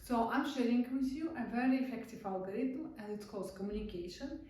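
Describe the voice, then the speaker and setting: Woman, Russian accent, instructor, soft mellow tone, heard from a distance in a very echoey room. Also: fairly high pitch, expressive. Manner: non-native English with broken grammar, halting restarts. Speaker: art-therapy instructor. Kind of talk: casual online lesson.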